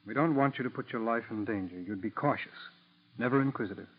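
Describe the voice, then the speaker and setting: An actor playing a grave, earnest FBI agent, persuasive and deliberate, with an American accent in 1940s radio style. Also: male voice, medium pitch, lightly acted. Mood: urgent, persuasive. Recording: dull 1940s radio recording.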